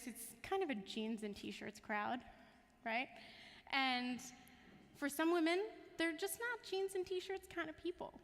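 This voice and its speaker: A woman hosts a panel in a conversational way. Her voice sits on the high side, and it rings with the long reverb of a conference hall. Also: a bit bright. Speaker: panel moderator. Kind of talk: conversational panel introduction to an audience. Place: conference stage in a large hall.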